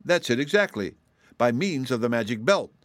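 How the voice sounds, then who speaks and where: Man voicing a brave, cheerful little girl, pitched up. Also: lively intonation, moderate pace, dry recording, studio quality, close to an everyday voice. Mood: brightly explaining. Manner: confident, reassuring explaining.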